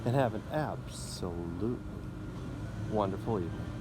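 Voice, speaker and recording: A man reads a children's storybook aloud in an expressive way, stumbling now and then, outdoors in a backyard. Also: fairly low pitch, normal speed. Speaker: school principal reading a story. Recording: noisy outdoor backyard recording. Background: neighbourhood ambience, mowers, dogs, kids.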